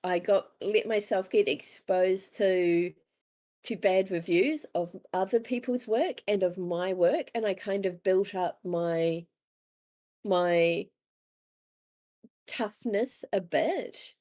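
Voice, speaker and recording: Woman, New Zealand accent, soft mellow tone, mid pitch, candid, animated, casual interview storytelling. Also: slow. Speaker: interviewed author.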